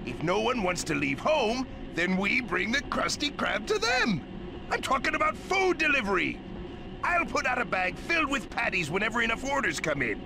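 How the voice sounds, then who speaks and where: A man voicing a blustery, money-minded older crab boss in a cartoon video game, gravelly, hearty and bossy, with sailor-like talk. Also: extremely high pitch, sing-song, normal speed, noisy background, full character voice. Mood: excited, scheming.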